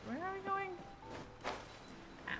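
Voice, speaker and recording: Female game streamer: playful, casual reactions, bright-spirited and highly animated, clear music underneath. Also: unhurried. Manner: playful, giggly. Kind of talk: casual gameplay commentary with reactions. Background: video game music.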